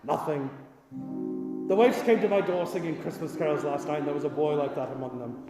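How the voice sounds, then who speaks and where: A man's voice: a little high, fairly quick, close to an everyday voice. Old male miser for radio drama acted by a man: remorseful, agitated, theatrical, in long reverb with music behind. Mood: wistful, sorrowful. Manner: emotional, theatrical, repeating words in agitation. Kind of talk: radio play acting.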